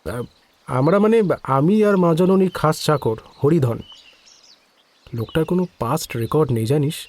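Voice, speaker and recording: An actor playing a casual, slightly evasive estate employee in a Bengali audio story, chatty and familiar with some hesitation. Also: male voice, a little high, lively intonation, normal speed, a little mellow.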